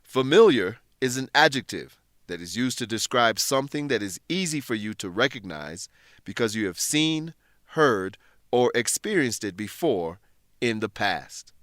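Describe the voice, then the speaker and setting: A man presenting an English lesson in scripted narration, explaining things in a measured way with careful enunciation. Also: mid-range voice, sing-song, fairly bright tone, no echo, studio quality.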